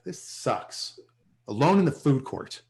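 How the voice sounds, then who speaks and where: Man playing a very animated, hapless office salesman. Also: mid-range voice, takes it slowly, gravelly, full character voice. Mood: hapless, wry. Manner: sarcastic, wheedling, hesitant. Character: self-important, hapless male office salesman. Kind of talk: sitcom table read. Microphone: video call.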